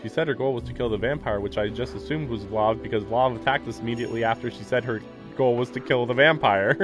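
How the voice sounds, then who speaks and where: A male streamer reads a visual novel aloud and rambles through his reactions, casual and a bit profane. His voice sits at an ordinary middle pitch. Game music plays clearly behind him. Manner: rambling, casual, swears, quick laughs.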